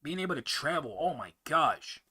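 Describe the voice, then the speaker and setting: A man's voice: on the high side, very animated, moderate pace, pushed and bright, breathy edge. A young man hosting a casual live-stream Q&A, answering viewer questions in a rambling way, often repeating words and restarting sentences.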